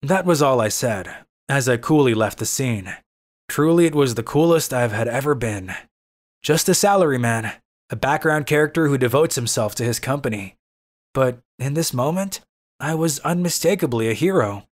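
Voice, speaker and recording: A man's voice: mid-range voice, lively intonation, moderate pace, close to an everyday voice. A man playing a weary, cynical young office worker who grumbles and narrates his own manga story in a dry, exasperated way. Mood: narrating. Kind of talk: dubbed manga story narration in first person.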